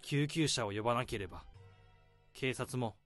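A man's voice: mid-range voice, moderate pace, fairly bright tone, faint music behind, close to an everyday voice. A man voicing a harried office worker who narrates in first person as he hides his boss's body, in a tense radio-drama monologue. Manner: tense inner monologue, rising to frantic self-pep talk. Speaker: narrator and protagonist.

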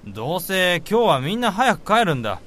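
A man acting a caring senior office worker in a Japanese radio drama, talking in a brisk, informal way. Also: high voice, sing-song, a bit bright. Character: concerned, casual senior male office worker looking after juniors.

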